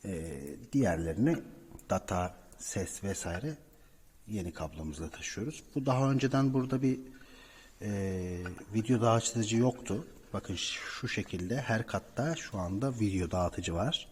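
Male repair technician, lowish register, informal explanatory walkthrough, recorded in a reverberant building corridor. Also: animated, a little slow, a little mellow. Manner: explanatory, addressing viewers directly. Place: indoors, apartment building hallway by cable shafts. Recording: reverberant stairwell, clear background noise.

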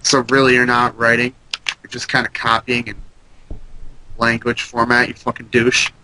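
A stuffed-up, congested man chats through a let's play, joking and ranting with a foul mouth at a middling pitch. Keyboard clicks and typing clatter clearly behind his voice. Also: monotone, moderate pace, bright, forceful tone, slight background noise, poor audio. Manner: joking, profane, ranty. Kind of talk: casual gaming commentary. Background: computer keyboard clicks.

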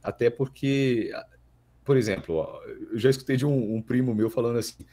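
A man in his thirties with a Brazilian accent, explaining things in a halting, casual podcast chat as a guest. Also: mid-range voice, mellow. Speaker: guest, voice actor.

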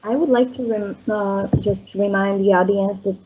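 A young woman moderating a panel on a video call, asking polite, steady questions. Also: medium pitch, moderate pace, background noise. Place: bright room at home. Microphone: laptop webcam on a video call. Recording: dull lo-fi video call audio.

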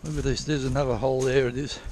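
A man's voice: mid-range voice, level tone, quick pace, darkish tone, a little hiss or hum. A man rambles through a walkthrough of old diggings, correcting himself and swearing casually as he goes, recorded outdoors on a head-mounted camera. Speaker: prospecting vlogger. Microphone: head-mounted action camera.

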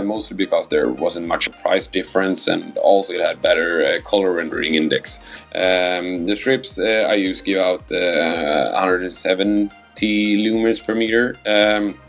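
Deep, gravelly-voiced man with a Scandinavian accent, halting tutorial voice-over. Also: steady tone, fairly bright tone, faint music behind. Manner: hesitant, many fillers. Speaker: sailboat owner vlogger. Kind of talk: voice-over how-to narration.

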